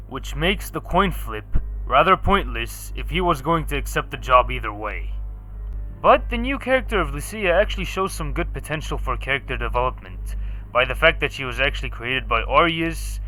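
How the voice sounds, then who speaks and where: A man's voice: mid-range voice, bright, forceful tone, low-quality recording. A man narrating a scripted video game review in a sardonic, casual way.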